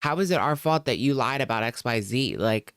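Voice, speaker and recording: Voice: mid-range voice, animated, brisk, a bit bright, smooth, dry recording, clean studio sound. A streamer giving casual live commentary in a blunt, sarcastic, conversational way. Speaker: reaction streamer.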